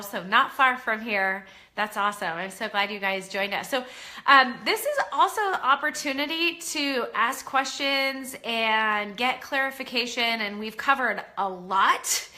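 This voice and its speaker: A woman's voice: fairly high pitch, pushed and bright. A middle-aged woman with a head cold and a nasal voice, chatting as a parenting coach in a live online class, encouraging and full of ums. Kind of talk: casual live-stream talk to viewers.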